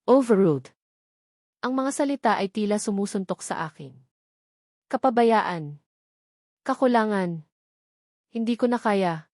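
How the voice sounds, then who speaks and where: A woman's voice: mid-range voice, unhurried, long pauses, no room sound, clean studio sound. A woman with a Filipino accent reading a dramatic first-person story as a narrator.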